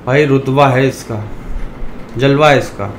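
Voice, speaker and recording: A man's voice: medium pitch, unhurried, slight background noise. A man from India with a sore throat, talking casually to his viewers, calling them brothers and ranting now and then. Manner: chatty, addresses viewers as brothers, animated rants. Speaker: fantasy cricket YouTuber.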